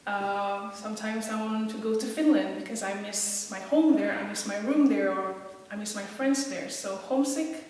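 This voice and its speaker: A woman's voice: mid-range voice, normal speed, darkish tone. A woman from Indonesia who grew up in several countries, thinking aloud hesitantly as she answers in a reflective interview. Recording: very echoey room, distant mic.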